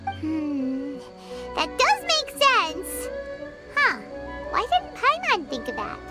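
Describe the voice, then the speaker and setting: Tiny squeaky fairy game sidekick, super high, bouncy pitch, excitable n kinda timid, exclaims a lot. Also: female voice, slow pace, exaggerated voice. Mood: puzzled. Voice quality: squeaky, childlike. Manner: refers to self in third person, exclamatory. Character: small childlike fairy companion, excitable, timid, earnest. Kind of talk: video game voice acting. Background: background music.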